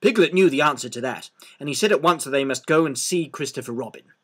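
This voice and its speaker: Lively, slightly husky male storyteller. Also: fairly high pitch, quick pace. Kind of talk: expressive children's story narration.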